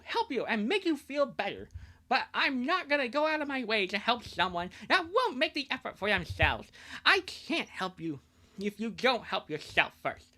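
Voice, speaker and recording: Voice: medium pitch, pushed and bright, voice pushed up. A livestreamer voicing an earnest, wounded young girl in a pleading, emphatic amateur comic dub.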